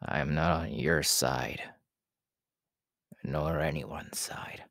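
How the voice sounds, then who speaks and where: A man playing a proud, menacing, world-weary demon king in an ASMR roleplay, talking in a deliberate, haughty way that often sinks into breathy whispers. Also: extremely low pitch, steady tone, a bit bright, dry recording, studio quality, heavily acted. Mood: scheming, guarded. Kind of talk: ASMR audio roleplay acting.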